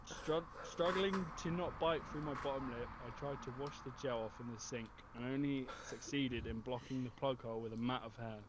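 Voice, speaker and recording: A man's voice: medium pitch, a little fast, hardly any pauses, crowd noise. A man reading a humorous written review aloud as a storyteller. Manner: often breaking into laughter. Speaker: host. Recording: very noisy mic with clicks.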